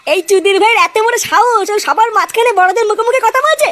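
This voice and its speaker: A woman's voice: very high-pitched, animated, fast talker, a bit bright. A woman voicing a foul-mouthed, bickering village boy in a comic cartoon, brash and scolding.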